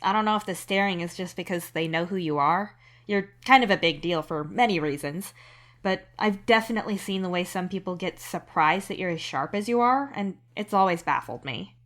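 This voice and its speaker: A woman's voice: on the low side, brisk, fairly bright tone, close to an everyday voice. A woman voicing a thoughtful, supportive young male alien troll. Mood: wry, supportive.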